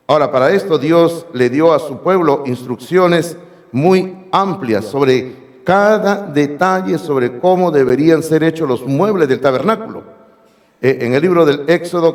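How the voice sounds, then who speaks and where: A hoarse man preaching a sermon as pastor, emphatic and speaking directly to his congregation in a church sanctuary. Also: on the high side. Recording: long reverb of a church hall.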